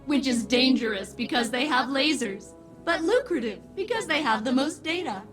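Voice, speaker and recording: An actress playing an excitable, eager female research enthusiast in a game, with a chirpy, emphatic delivery. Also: high-pitched, moderate pace, music in the background. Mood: eager, excited. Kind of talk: animated game dialogue.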